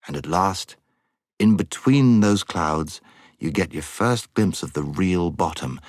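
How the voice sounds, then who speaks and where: A man narrating a storybook as an audiobook reader, with dramatic, measured phrasing. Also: sits low, no room sound, clean studio sound.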